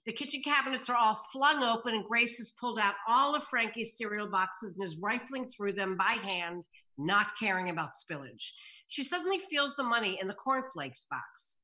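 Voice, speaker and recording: Bright, higher-voiced woman reading stage directions. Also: expressive, normal speed, poor audio. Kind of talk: scripted narration. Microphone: computer on video call.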